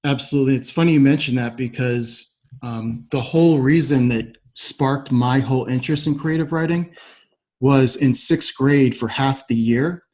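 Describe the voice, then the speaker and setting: Casting: male podcast host, medium pitch, agreeable and reflective, conversational interview delivery. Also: normal speed, a little mellow.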